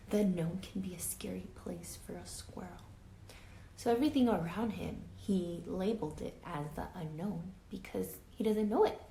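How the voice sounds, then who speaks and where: A woman reading a children's picture book aloud like a teacher, deliberate and emphatic, drawing out words and adding explanatory asides. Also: mid-range voice. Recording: echoey room, faint background noise.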